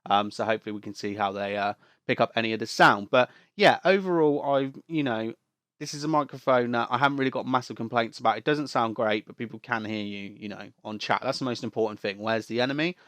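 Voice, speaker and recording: A man's voice: medium pitch, quick pace, a bit bright. A young man with a cold and a nasal voice, chatting casually through a product review at his home desk, rambling with plenty of fillers and restarts. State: has a cold, sounds nasal. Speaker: tech reviewer. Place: home desk setup beside a busy street.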